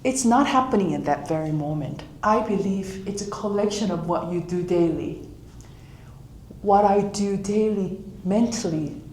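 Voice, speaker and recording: A woman's voice: on the low side, warm tone, roomy sound, slight background noise, rough recording. A woman speaking English with a Korean accent, giving a live author talk and telling personal stories in a reflective way, sometimes acting out her own inner voice.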